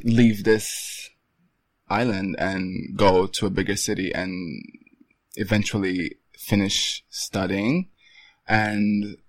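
A young man from Portugal, a photographer, talking casually as an interview guest with many pauses and restarts. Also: on the low side, no room sound, poor audio.

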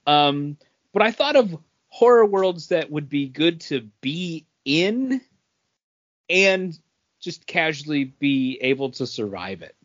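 Male podcast co-host, slightly high voice, casual talk, dry and somewhat muffled sound. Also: very animated, slow, a bit bright, dry recording.

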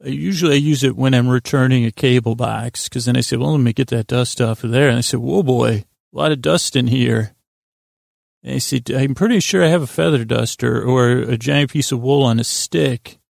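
A man hosting a sleep podcast in lulling, soothing tones, meandering and halting through a gently self-deprecating monologue. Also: mid-range voice, vocal fry, no echo, clean studio sound. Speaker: podcast host.

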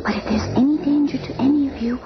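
A woman playing a gentle, anxious, devoted young woman in a radio drama. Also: sits high, fast. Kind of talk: 1970s superhero radio drama acting. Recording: muffled noisy old radio recording.

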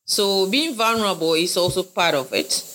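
Woman from Ghana, interview guest, earnest and bright, circles back on her points. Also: fairly low pitch, animated, normal speed, slight background noise, rough recording. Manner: earnest, repeats phrases, laughs often. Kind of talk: interview conversation.

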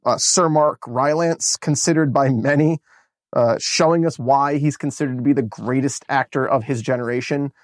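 American male podcast co-host, mid pitch, dry close mic, chatty, excitable and rambling. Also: moderate pace, no echo. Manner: enthusiastic, rambling with many fillers and restarts. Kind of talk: casual movie review chat.

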